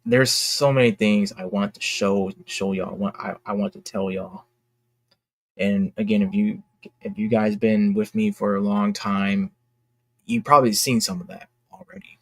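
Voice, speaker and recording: A young man talking casually and candidly to his fans in a halting, reflective, earnest way, as an independent creator. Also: lowish voice, steady tone, dry recording. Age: young adult. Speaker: indie comic creator and vlogger.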